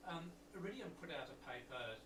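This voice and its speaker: A man's voice: a little high, animated, fairly quick, recorded from a distance. A man in the audience at a presentation, casually offering a comment and question, recorded away from the microphone in a noisy, echoey room. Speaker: audience member asking a question.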